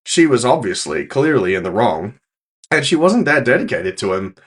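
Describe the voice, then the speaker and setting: A man's voice: medium pitch, sing-song, moderate pace, husky. A man giving casual reaction commentary, explaining his opinions firmly in long, conversational runs.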